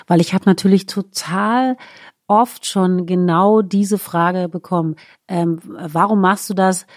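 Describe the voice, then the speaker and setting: A woman's voice: lowish voice, steady tone, takes it slowly, dry recording, studio quality. A middle-aged woman being interviewed in a living room, talking thoughtfully with many fillers and restarts. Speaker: guest singer. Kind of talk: casual interview chat.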